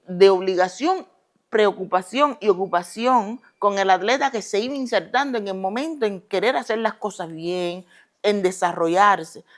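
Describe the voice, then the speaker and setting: Cuban woman answering slowly with fillers. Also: mid-range voice. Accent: Cuban Spanish. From Cuba. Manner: deliberate, hesitant with many fillers, then emphatic and quick when passionate. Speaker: guest, retired Olympic judoka. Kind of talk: relaxed conversational interview answers.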